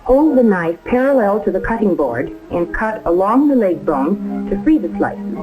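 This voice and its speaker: A woman's voice: medium pitch, very animated, normal speed, low-quality recording. A woman giving precise, step-by-step scripted instruction as a home economist in a 1950s training film. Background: music.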